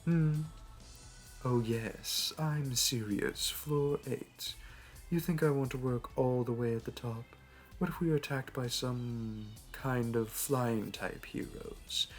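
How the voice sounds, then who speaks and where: A man playing a smug, flirty supervillain in an audio roleplay, talking in a teasing, theatrical, unhurried way. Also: medium pitch. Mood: playful, teasing. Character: smug, flirty male supervillain hosting a hero in his lair. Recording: music behind. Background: background music.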